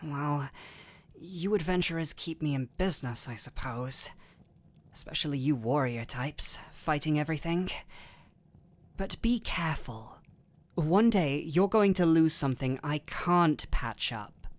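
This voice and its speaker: A woman playing a gentle, caring physician in a fantasy audio drama, patient and soothing, with a softly teasing touch. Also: deep voice, animated, a little slow. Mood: mildly scolding. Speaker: audio roleplay voice actor. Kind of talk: intimate scripted audio roleplay.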